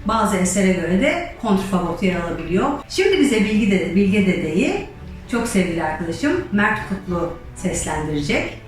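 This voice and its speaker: A woman's voice: medium pitch, big pitch swings, normal speed. Woman presenting in a very echoey space. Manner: explanatory, addressing the audience directly. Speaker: musician and writer hosting a musical storytelling event. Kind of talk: educational presentation with storytelling. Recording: very echoey, distant microphone, faint music.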